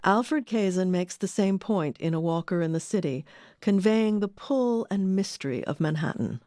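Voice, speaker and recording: A woman's voice: fairly low pitch, lively intonation, normal speed, dark tone, no room sound, studio quality. A woman reading scripted narration as the host of an audio documentary.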